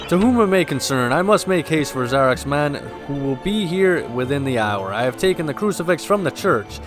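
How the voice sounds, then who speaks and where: A man hosting a gameplay video, mixing casual commentary with lore read aloud, in a somewhat halting delivery. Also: medium pitch. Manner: halting, stumbles while reading aloud. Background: game music.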